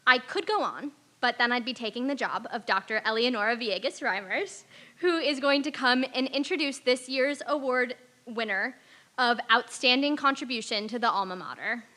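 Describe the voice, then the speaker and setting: A woman's voice: a little high, moderate pace, bright, forceful tone. A woman hosting an awards ceremony, presenting from semi-prepared remarks.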